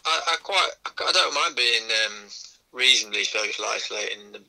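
A British man chatting casually and matily, heard over a phone played on loudspeaker. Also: mid-range voice, animated, a little fast, vocal fry, rough recording. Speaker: friend interviewed by phone. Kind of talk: casual chat. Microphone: phone speaker picked up in the room.

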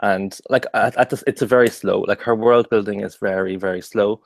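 A man's voice: lowish voice, fairly even intonation, fairly quick, creaky. A man, chatting about books in an easygoing, slightly hesitant way. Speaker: book club panelist. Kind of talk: casual book discussion. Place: home room, indoors. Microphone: earphones on a video call.

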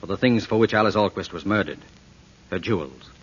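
An actor playing an earnest, protective detective, questioning urgently and reassuringly in a 1940s radio drama style. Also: male voice, on the low side, a little fast, husky. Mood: grave. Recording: muffled, noisy old radio recording.

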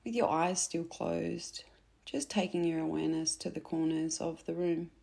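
A woman guiding a meditation in a soft, gentle, unhurried way, with phrase endings that turn breathy. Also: lowish voice, flat delivery. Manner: gentle, unhurried, instructing softly with pauses. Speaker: meditation guide. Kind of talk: soothing guided meditation narration.

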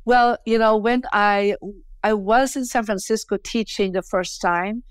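Smooth-voiced woman on a video call. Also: mid-range voice, steady tone, measured pace, dry recording. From Belgium. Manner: chatty, frequent "you know" and "right?" tags. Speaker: guest, feng shui teacher. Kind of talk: conversational interview answers. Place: bright indoor room at home. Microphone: earphone headset on a video call.

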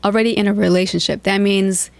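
Gravelly-voiced woman hosting a reaction video. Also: mid-range voice, steady tone, quick pace, no echo. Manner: blunt, chatty, opinionated. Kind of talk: casual reaction commentary.

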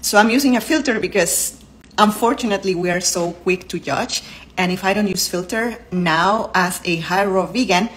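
A woman's voice: medium pitch, moderate pace, slight background noise. A woman in her thirties whose first language is Spanish, speaking English with a Spanish accent. She talks to the camera at home and explains health topics in an earnest way, listing terms one by one. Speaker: vlogger and doctor. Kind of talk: casual talk to camera. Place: home living room, indoors.

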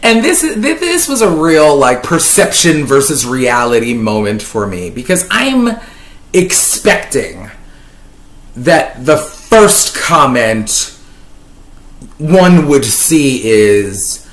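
A woman's voice: a bass voice, sing-song, slow. A woman from Lebanon in her thirties, talking casually to her webcam from a sofa, chatty and wordy with a dry, ironic streak and plenty of fillers. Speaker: YouTuber. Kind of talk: casual commentary vlog. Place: living room, on a sofa. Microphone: webcam at desk distance. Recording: echoey room.

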